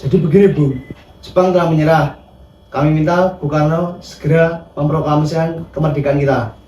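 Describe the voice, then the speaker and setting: Young male freedom activist, man acting: formal, insistent, soft-edged tone, recorded off-mic in a reverberant space. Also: on the high side, fairly even intonation, normal speed, highs cut off, natural voice. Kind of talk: amateur historical film acting, formal scripted dialogue. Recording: echoey room, distant mic, faint noise.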